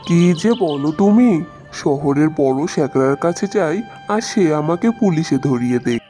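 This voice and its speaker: A man's voice: fairly high pitch, lively intonation, moderate pace, warm tone, soft background music, lightly acted. A man voicing a worried, cautious poor village woodcutter in a cartoon, speaking in a plain, musing way. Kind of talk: cartoon story dubbing.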